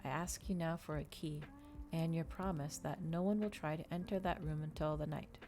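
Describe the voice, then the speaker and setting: A woman voicing a brooding, articulate male vampire from a novel in a formal, deliberate manner. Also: low-pitched, fairly even intonation, warm tone, slight background noise. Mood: cold, intense.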